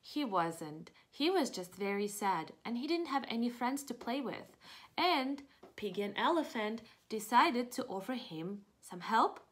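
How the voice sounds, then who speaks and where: A woman's voice: fairly high pitch, sing-song, unhurried, a bit bright. A young woman doing a picture-book read-aloud for small children, expressively explaining and asking questions. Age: young adult. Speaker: preschool teacher reading aloud. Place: living room indoors.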